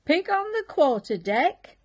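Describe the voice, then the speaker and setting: A woman voicing an excitable male pirate first mate in a children's storybook who shouts out what he spots. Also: takes it slowly, exaggerated voice. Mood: excited. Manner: shrill, excited calling out.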